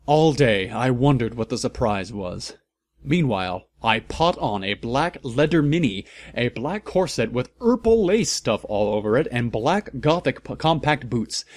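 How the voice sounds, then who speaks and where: A man reading a story aloud for comedy, deadpan and mocking, with sarcastic asides. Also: medium pitch. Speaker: host of a fan fiction reading channel.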